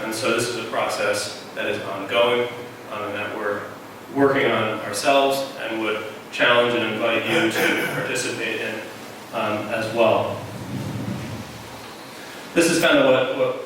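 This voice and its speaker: Lower-voiced man presenting in an echoey hall. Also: little pitch movement, unhurried. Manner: explanatory, frequent "um" fillers. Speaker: journal team member presenting. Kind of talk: presentation to an audience. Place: conference room. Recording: echoey hall, distant mic, noisy.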